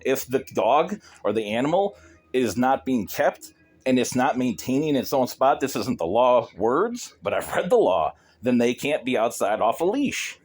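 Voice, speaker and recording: A man's voice: mid-range voice, very animated, moderate pace, a little mellow. Stern, insistent man arguing. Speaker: pet owner confronting a neighbour. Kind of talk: argumentative phone conversation.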